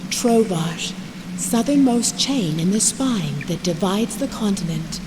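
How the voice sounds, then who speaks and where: A woman playing a solemn storyteller, narrating a fantasy video game in a grand, measured way. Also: medium pitch, animated. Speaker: campaign narrator. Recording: noisy game audio with hiss.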